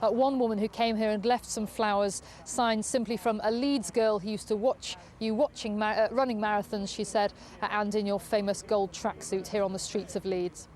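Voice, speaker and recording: A woman news correspondent giving a measured live report outdoors, pausing now and then as she improvises. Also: medium pitch, fairly even intonation, darkish tone. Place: outdoors on a residential street. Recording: outdoor street ambience with traffic noise.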